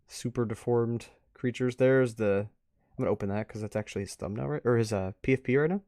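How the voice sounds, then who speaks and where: A man's voice: lowish voice, mellow, dry recording, clean studio sound. A man giving casual, off-the-cuff commentary as a stream host, rambling and musing aloud. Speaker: host of a live art review stream.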